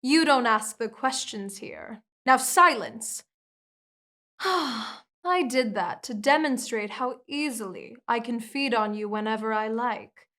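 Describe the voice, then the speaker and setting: A woman voicing a slow, hypnotic vampire queen. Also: fairly high pitch, bright, forceful tone. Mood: commanding. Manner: slow, soothing, hypnotic and controlling. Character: commanding seductive female vampire queen. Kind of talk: ASMR hypnosis roleplay.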